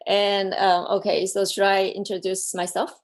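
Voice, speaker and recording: Slow-talking woman from south korea, lots of ums, guest on a panel. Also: medium pitch, level tone. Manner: halting, many fillers, unhurried. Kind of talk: casual chat.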